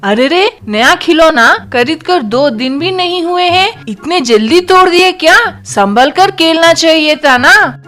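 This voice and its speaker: A woman playing a quarrelsome young mother who scolds indignantly in an animated comedy story. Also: extremely high pitch, a little slow, faint music behind, full character voice. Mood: scolding.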